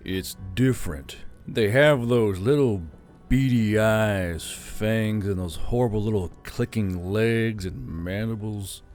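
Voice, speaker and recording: A man's voice: fairly low pitch, slow pace, darkish tone, faint music behind. A man playing a grumbling, sardonic male commander who is squeamish about spiders.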